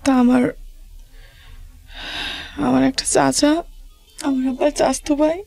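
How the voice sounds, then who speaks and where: Creaky-voiced Bangladeshi village woman. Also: high-pitched, steady tone, warm tone, no echo, faint music behind. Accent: rural Bangladeshi. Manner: halting, repetitive, colloquial dialect. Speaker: guest telling own life story. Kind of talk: personal storytelling in an interview.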